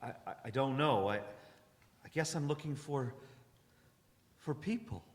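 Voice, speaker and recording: A medium-pitched male voice carries with long reverb, as if from a hall stage. He is a haunted, weary survivor played by a male actor, solemn and uncertain in the manner of a radio play. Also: lively intonation. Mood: nervous, hesitant. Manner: halting and uncertain, dramatic solemn delivery. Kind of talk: live stage radio drama acting. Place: church hall stage, live performance. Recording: echoey hall, live performance.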